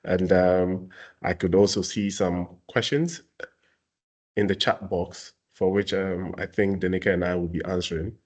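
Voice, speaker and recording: Young guy from ghana, really low voice, lots of ums, webinar talk. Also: fairly even intonation, measured pace. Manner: hesitant, many fillers. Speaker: talent services staff presenting a webinar. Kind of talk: casual webinar presentation.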